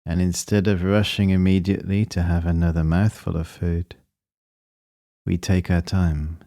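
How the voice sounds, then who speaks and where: A man leading a guided meditation, speaking in a calm, measured and gently instructing manner. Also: sits low, gravelly, no room sound, clean studio sound.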